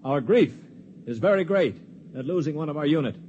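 A man's voice: on the high side, animated, brisk, a little mellow, muffled recording. Man narrating an old radio drama. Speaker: narrator and lead character. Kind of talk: 1950s radio drama acting. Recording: noisy 1950s radio broadcast.

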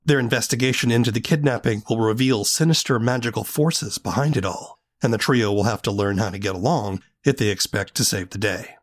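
A man's voice: lowish voice, brisk, few pauses. A man hosting an interview programme, giving scripted introductions and asking questions. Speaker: interviewer and host.

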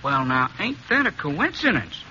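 Chatty frontier blacksmith: high-pitched and swooping, folksy, friendly braggart for an old radio western. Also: male voice, big pitch swings, moderate pace, pushed and bright, heavily acted. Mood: gossipy. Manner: folksy, eager, rustic cowboy speech. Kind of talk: 1950s radio western acting. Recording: dull, noisy old radio recording.

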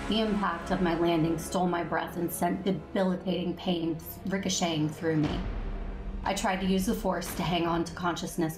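Woman acting earnest low-voiced female Jedi Master. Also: lightly acted, rough recording. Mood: tense, pained. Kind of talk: first-person audio drama narration and dialogue.

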